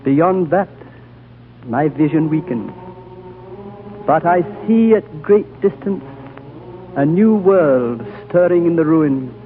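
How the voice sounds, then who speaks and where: Ancient, solemn high lama. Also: male voice, lively intonation, a little hiss or hum. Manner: slow, grave, prophetic. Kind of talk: 1930s radio drama acting. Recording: old phone-like radio broadcast, faint music.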